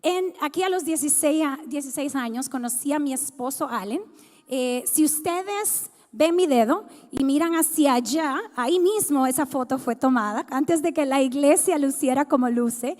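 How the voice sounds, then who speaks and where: A woman's voice: high-pitched, darkish tone. A woman in her thirties from the Dominican Republic, sharing her personal testimony as a guest speaker in a church, talking conversationally and putting questions to the congregation. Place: church sanctuary. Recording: long hall reverb.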